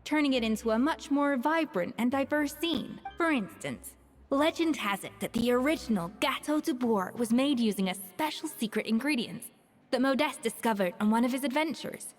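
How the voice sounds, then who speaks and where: A woman voicing a composed head chef who speaks in a measured, dry, slightly disdainful way. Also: fairly high pitch, lively intonation, natural voice. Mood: explaining. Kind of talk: video game voice acting. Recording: reverberant game audio with soft music.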